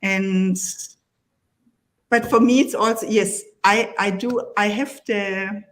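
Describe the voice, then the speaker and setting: A woman's voice: medium pitch, steady tone, slow. A German woman speaking English with a German accent as a coach in a live online panel, hesitant, often restarting and laughing. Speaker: coach and guest. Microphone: video call.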